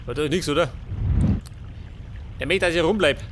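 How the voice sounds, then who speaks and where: A man's voice: fairly high pitch, normal speed, background noise. A man with a Bavarian accent doing casual let's play commentary, muttering to himself in colloquial, sweary bursts. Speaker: let's player.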